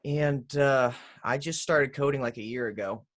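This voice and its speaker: A young man talks in a relaxed, easygoing way into a studio mic while wearing headphones. His voice sits at a middle pitch. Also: moderate pace. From Pennsylvania. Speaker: coding program participant. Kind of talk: casual video call introduction. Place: indoor bedroom.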